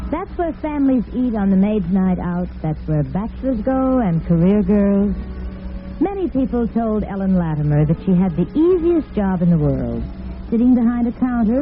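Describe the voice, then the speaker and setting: A woman playing a sympathetic storyteller, narrating a melodrama in measured, dramatic old-time radio style. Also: medium pitch, close to an everyday voice. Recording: narrow-band vintage radio recording.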